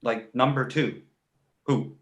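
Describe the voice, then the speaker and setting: A man voicing a cheeky, crude talking baby boy in an animated comedy table read, sarcastic and blunt. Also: mid-range voice, takes it slowly, lightly acted. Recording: roomy echo.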